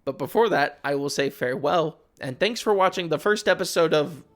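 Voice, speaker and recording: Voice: medium pitch, lively intonation, brisk. A video essayist delivering scripted commentary with informal, wry asides. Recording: music behind the voice. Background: background music.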